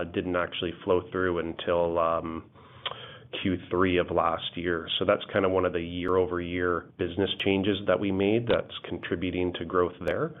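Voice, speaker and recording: Finance exec guy, low flat voice, explaining numbers on an earnings call. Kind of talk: explanatory answers on an investor call. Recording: narrow tinny phone line.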